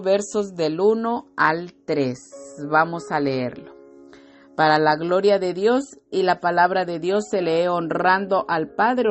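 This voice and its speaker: A woman with a low voice delivers a slow, fervent devotional sermon, sprinkling in hallelujahs and amens. Her throat sounds slightly irritated. Soft instrumental music plays faintly underneath. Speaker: preacher. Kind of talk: devotional sermon with scripture reading.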